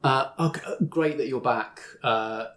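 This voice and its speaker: A man's voice: medium pitch, unhurried, fairly bright tone, natural voice. A man playing a falsely sympathetic estate agent with oily, salesy politeness.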